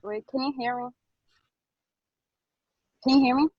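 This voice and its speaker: A woman's voice: high voice, fairly even intonation, a little slow, husky, no room sound. A woman of about twenty from Ethiopia, reading a prepared speech as a public commenter, earnest and personal, with fillers. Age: around 20. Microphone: video call. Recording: dull lo-fi video call audio.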